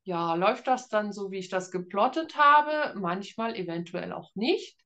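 A woman's voice: medium pitch, expressive, unhurried, fairly bright tone. A woman in her early thirties from Germany, chatting casually as an author being interviewed, with a self-deprecating humor. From Schwerin, Germany. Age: early 30s.

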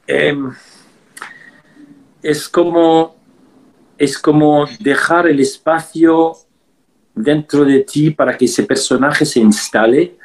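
A man answering interview questions as an actor, thoughtful and halting, often pausing and restarting his sentences. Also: fairly high pitch, fairly even intonation, low-quality recording.

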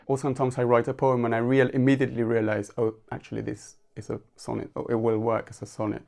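Gravelly man, hesitant. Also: medium pitch, completely flat delivery, fast. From Argentina. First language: Spanish. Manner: soft-spoken, hesitant, many restarts. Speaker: poet guest. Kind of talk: podcast interview.

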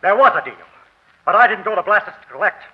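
A man's voice: high-pitched, expressive, fast, husky. An actor playing a shifty, nervous gambling stickman, slangy and defensive, in a 1940s radio crime drama. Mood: panicked. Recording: dull, band-limited old radio broadcast.

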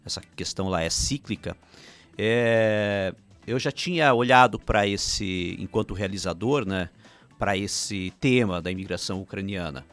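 A man's voice: on the low side, a little slow, fairly bright tone. Chatty man with Ukrainian roots. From Paraná, Brazil. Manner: rambling, frequent restarts and "né?" tags. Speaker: filmmaker guest. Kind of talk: casual interview chat.

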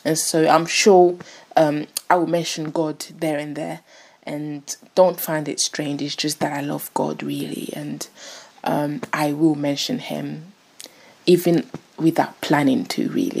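Young woman, low voice, husky, chatty, giggly, casual podcast host. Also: a little mellow. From France. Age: 20s. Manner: chatty, giggly, self-deprecating. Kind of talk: casual solo podcast intro.

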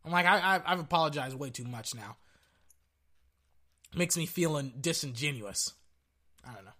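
A young man hosts a loose sports podcast in a high, bright voice with a forceful edge. His throat is sore and his voice is fading, yet he stays brash and excitable, calling out to "ladies and gentlemen" as he riffs. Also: normal speed. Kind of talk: casual sports podcast talk.

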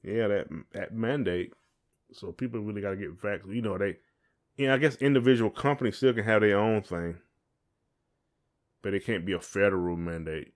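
A man with a fairly low voice co-hosts a casual podcast. He talks in a rambling way, circling back and repeating phrases as he thinks out loud. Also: moderate pace. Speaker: podcast co-host. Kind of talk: casual conversational chat.

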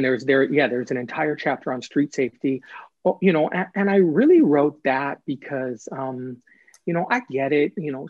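A man's voice: mid-range voice, no echo, highs cut off. A man speaking as an author being interviewed, explaining things in an earnest, emphatic way and repeating phrases for stress.

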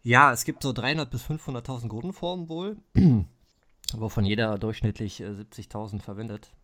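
A man hosting a casual podcast interview, relaxed and easygoing, often repeating "verstehe" and clearing his throat. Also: mid-range voice, normal speed. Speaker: podcast host.

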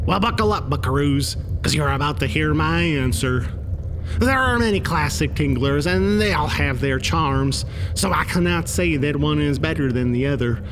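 Folksy guy hosting, kinda high voice, earnest and quirky, noisy rumbly background. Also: lively intonation, moderate pace. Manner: earnest, quirky, folksy phrasing with stammered restarts. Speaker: podcast host and author. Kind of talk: folksy scripted comic monologue.

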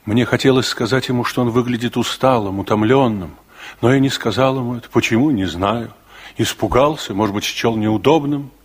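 An actor playing a man who recalls his uneasy youth, narrating a 1960s radio play in a measured, confessional way. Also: medium pitch, slightly rough, close to an everyday voice. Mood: reflective.

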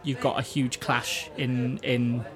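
A man's voice: mid-range voice, flat delivery, slow pace. A man co-hosting a podcast, talking films in a laid-back way with plenty of fillers. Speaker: podcast co-host. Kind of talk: casual film review chat. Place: pub. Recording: noisy pub with crowd. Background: pub crowd chatter.

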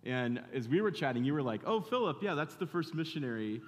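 A man's voice: a little high, very animated, brisk. A man preaching in a casual, conversational way, full of fillers and restarts. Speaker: pastor. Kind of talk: sermon with casual interview. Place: church in Phoenix, Arizona. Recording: long reverb of a church hall.

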